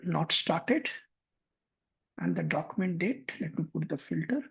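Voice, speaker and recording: A man demonstrating finance software on a shared screen, thinking aloud with frequent restarts. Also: fairly high pitch, lively intonation, a little slow, creaky, slightly rough. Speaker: software instructor. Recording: muffled lo-fi computer mic.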